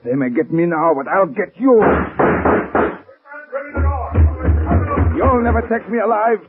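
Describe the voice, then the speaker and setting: An actor playing a desperate, threatening cornered gang leader in melodramatic 1930s radio style. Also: male voice, high voice, very animated, a little fast, over loud music. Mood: frantic. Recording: narrow muffled old radio recording.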